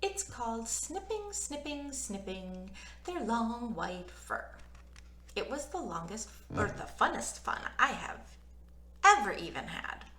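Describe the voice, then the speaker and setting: A woman reading a children's story aloud in an expressive, childlike, animated way. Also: on the high side, slow. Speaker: children's book reader.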